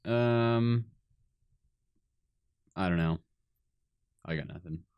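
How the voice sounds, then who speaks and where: A man's voice: low voice, very slow, clear, resonant tone, lo-fi sound. A man co-hosting a casual podcast chat, mostly answering in short, dry replies. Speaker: podcast co-host.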